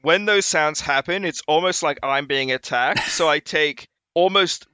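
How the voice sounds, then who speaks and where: A man's voice: on the high side, a little slow, sharp tone, clean tone, no room sound. A man co-hosting a podcast in casual chat, playfully dramatic about editing. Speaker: podcast co-host.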